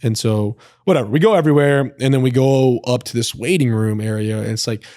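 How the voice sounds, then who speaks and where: A young man in a podcast chat, telling a long story in a rambling, animated way with lots of filler words. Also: medium pitch, fairly quick, dry recording, clean studio sound. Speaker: podcast guest. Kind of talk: casual storytelling.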